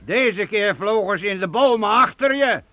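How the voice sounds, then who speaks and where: A man's voice: high voice, lively intonation, normal speed. An actor playing a brash, proud medieval townsman who leads guild archers, blunt and mocking, in a radio play. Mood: mocking, commanding. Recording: faintly noisy old radio play recording.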